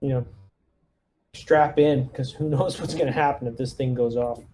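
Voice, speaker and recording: A man's voice: mid-range voice, steady tone, moderate pace, dark tone. A man playing a tabletop game as squad leader, giving orders in an informal, take-charge way. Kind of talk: casual in-game chat and orders.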